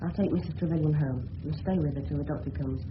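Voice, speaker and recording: An actor playing a polite, level-headed English schoolboy in a radio play, earnest and well-spoken. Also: male voice, a little high, quick pace, natural voice. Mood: calm, caring. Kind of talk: 1970s radio play acting. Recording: muffled, hissy old radio broadcast.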